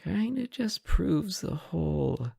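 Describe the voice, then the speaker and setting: Man acting as a cheeky, animated, sarcastic hostage. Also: a little high, vocal fry, breathy edge, no room sound, clean studio sound, exaggerated voice. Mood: hesitant, surprised. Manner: sarcastic, theatrical, drawn-out emphasis. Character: cheeky, teasing adult male hostage of a supervillain. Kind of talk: acted audio roleplay, one side of a dialogue.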